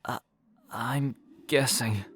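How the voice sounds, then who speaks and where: A man's voice: medium pitch, steady tone, slow pace, fairly bright tone, a little hiss or hum. A man playing an anxious, earnest teenage schoolboy in an anime game fan dub, hesitant and prone to outbursts. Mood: hesitant, puzzled.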